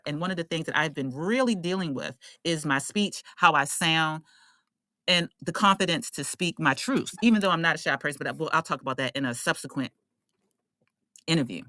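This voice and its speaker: A woman's voice: sits low, sharp tone, no echo. A woman giving a casual astrology forecast as an online host, talking in an emphatic, conversational way with slang and rhetorical questions.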